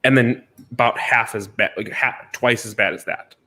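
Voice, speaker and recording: A man chatting casually as a podcast co-host, with a blunt, animated way of talking. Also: medium pitch, moderate pace. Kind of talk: casual chat.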